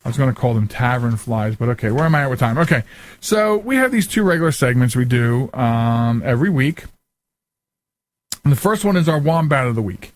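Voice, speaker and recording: A man's voice: mid-range voice, lively intonation, a little fast. A middle-aged man hosting a talk show in a casual, rambling monologue, often interrupting himself and wandering off on asides. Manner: chatty, digressive, self-interrupting.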